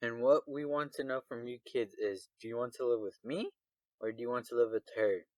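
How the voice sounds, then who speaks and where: A man playing a gruff, impatient, bickering father in a radio drama. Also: mid-range voice, a little fast, a little mellow, dry recording, close to an everyday voice. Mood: awkward, evasive.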